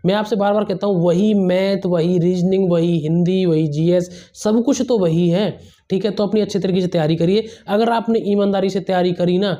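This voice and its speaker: A man with a high, soft-edged, mellow voice speaks as an exam coach. He is earnest and emphatic, advising students informally and repeating key phrases to drive them home. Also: fairly even intonation, normal speed, muffled recording. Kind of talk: motivational advice to students, informal.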